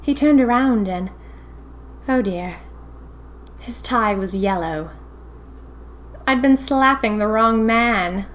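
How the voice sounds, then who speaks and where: A woman's voice: on the high side, slow, long pauses. A woman playing a young 1920s typist who confides eerie happenings to her diary in a chatty, dramatic, wry way. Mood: indignant, flustered. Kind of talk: first-person audio fiction diary narration.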